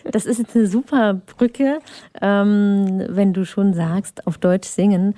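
Mellow-voiced woman hosting an interview. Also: medium pitch, no room sound, clean studio sound. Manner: links the topics, bridging the conversation. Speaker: host. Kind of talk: moderating a radio interview.

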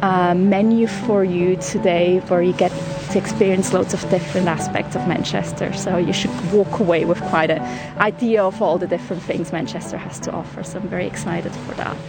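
A woman from Switzerland guides a food tour in casual talk. She sounds upbeat and chatty. Music plays clearly behind her. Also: fairly low pitch, steady tone, a little fast.

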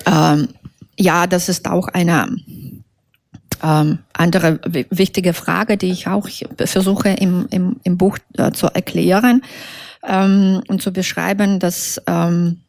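A woman from Belarus, a native Russian speaker, answering interview questions in halting, careful German, with many fillers and self-corrections. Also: fairly low pitch, little pitch movement, vocal fry, a little mellow, no echo. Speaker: author being interviewed.

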